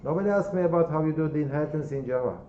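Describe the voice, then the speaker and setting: A man with a Turkish accent lectures a university class in a mellow, relaxed voice, often repeating words and checking in with "okay?". The recording is roomy and slightly dull, with a faint hum of room ambience behind him. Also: fairly high pitch, rapid-fire. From Turkey.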